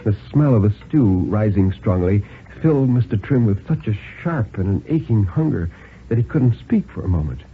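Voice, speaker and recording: A male storyteller narrates an old radio thriller with deliberate drama and lively swings of pitch. The sound is narrow and muffled, with faint hiss behind the voice. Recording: lo-fi 1950s radio, hissy.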